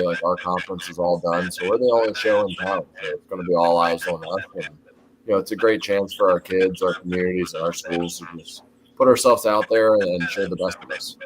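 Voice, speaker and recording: A man with an American accent talking in a sports interview as a high school football coach, earnest and enthusiastic. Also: rough recording. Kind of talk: sports talk show interview.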